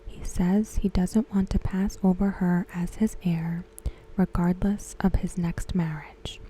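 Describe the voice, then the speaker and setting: A woman giving ASMR commentary in a soft, breathy whisper, hushed and measured. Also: lowish voice, steady tone, a little hiss or hum, rough recording. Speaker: ASMR host reviewing a TV episode. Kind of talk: ASMR commentary, softly spoken.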